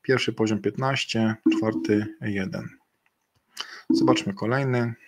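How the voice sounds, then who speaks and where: Gravelly man presenting over a webcam. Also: lowish voice, fairly even intonation, slight background noise. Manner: halting, many fillers and restarts. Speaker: webinar presenter. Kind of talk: slide presentation. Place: indoor room. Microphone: video call webcam.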